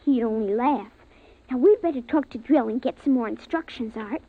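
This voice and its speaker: A woman's voice: on the high side, normal speed. An actress playing an eager, bossy little girl in an old-time radio drama, with an earnest, insistent, childlike delivery. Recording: muffled vintage radio recording.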